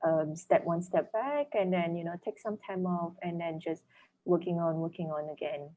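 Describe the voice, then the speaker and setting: A woman with a Malaysian accent, a native Chinese speaker, answering interview questions as an author in a thoughtful, measured way, searching for words. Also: fairly low pitch, warm tone, dull audio, slight background noise. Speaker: author and dentist guest.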